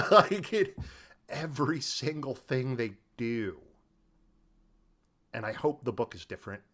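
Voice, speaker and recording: A man chats as a podcast co-host with a very lively, swooping delivery. He sounds emphatic and incredulous, leaning on key words in loose, colloquial speech. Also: medium pitch, slow pace, pauses often. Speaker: podcast co-host discussing a film. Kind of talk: casual chat.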